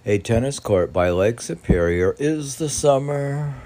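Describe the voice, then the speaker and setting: A man reading his own poems informally, meandering and halting, with musing asides and rhetorical questions. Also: mid-range voice, lively intonation, warm tone. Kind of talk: informal poetry reading with spontaneous commentary.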